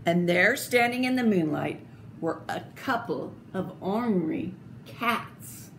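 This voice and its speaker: An older woman reading a children's picture book aloud in an expressive, deliberate, theatrical way, with little asides. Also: lowish voice. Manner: slow, deliberate, theatrical phrasing. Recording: clearly noisy home video recording.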